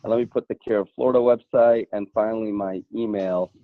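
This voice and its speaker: A man speaking earnestly as a panelist in an online town hall, halting and often repeating words as he goes. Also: fairly low pitch, steady tone. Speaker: panelist, civil rights attorney and activist. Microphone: internet call. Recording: thin, phone-like online call audio.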